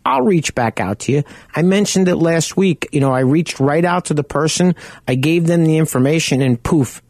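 A man with a loud voice hosting a radio talk show, speaking in a casual, rambling, anecdotal way. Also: on the high side, no room sound.

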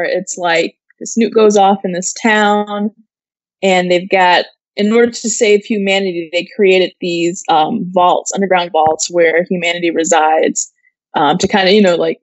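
A woman's voice: fairly low pitch, dry recording, rough recording. A woman co-hosting a casual chat show, explaining informally and thinking aloud as she goes. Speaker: co-host of an entertainment news live show.

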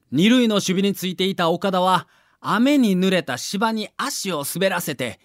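A man's voice: sits high, fairly bright tone, no room sound, clean studio sound. A man reading a scripted documentary narration with a theatrical storyteller's delivery.

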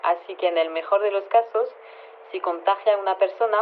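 Hesitant scientist on tinny phone line. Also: female voice, medium pitch, a little fast, slight background noise. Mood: matter-of-fact, excusing. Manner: hesitant, rambling, matter-of-fact. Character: flustered, matter-of-fact female head of virology lab. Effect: telephone filter. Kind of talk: conversational radio phone interview.

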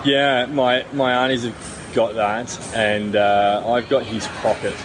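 Relaxed, rambling man amid loud street noise. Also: medium pitch, takes it slowly. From New Zealand. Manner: relaxed, rambling, many fillers and restarts. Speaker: former rugby player, guest. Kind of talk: casual interview answers.

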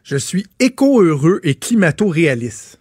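A man's voice: fairly high pitch, normal speed, husky, no echo, clean studio sound. A man with a Quebec French accent co-hosting a radio show, chatting casually and laughing as he tells stories. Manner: laughing, animated storyteller. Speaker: radio co-host. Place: radio studio. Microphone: studio mic.

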